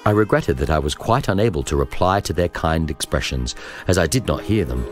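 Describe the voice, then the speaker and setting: A man reads a historical journal as scripted narration, in a measured, formal storytelling manner. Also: a bass voice, animated, music in the background. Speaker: narrator reading a memoir.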